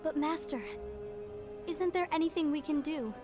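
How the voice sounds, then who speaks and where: A woman playing an earnest, gentle young apprentice heroine in a fantasy video game cutscene. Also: high-pitched, moderate pace, over music, lightly acted. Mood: worried, earnest. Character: earnest young female keyblade apprentice turned leader.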